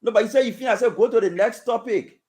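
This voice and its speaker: A man's voice: high voice, fast, mellow. A man hosting a call-in talk show, firmly cutting in to keep callers on time. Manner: firm, cuts in to keep time.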